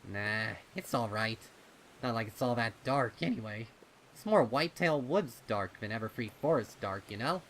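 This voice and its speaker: A man's voice: medium pitch, lively intonation, normal speed, a bit bright, slight background noise, natural voice. A man voicing a young cartoon pony colt, a chummy but uneasy adventurer with casual, boyish talk, in a story reading. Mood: reassuring.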